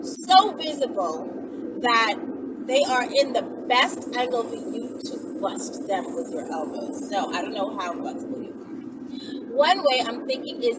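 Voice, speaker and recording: Loud road and car noise surrounds a woman in her thirties, recorded a little away from the mic. Her voice is high and swings widely in pitch as she chats casually to her viewers. She is emphatic, often repeating words to press her point. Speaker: vlogger, channel host. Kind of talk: casual chat to camera. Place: inside a moving car with windows down. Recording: very noisy car ride, distant mic.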